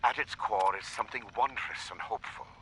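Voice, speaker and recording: An actor playing an arrogant, idealistic scientist who dictates video game research logs in a deliberate, gravelly, breathy voice. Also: male voice, fairly low pitch, a bit bright, slight background noise, natural voice, low-quality recording. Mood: fervent resolve. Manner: deliberate, measured, disdainful. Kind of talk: dramatic video game audio log.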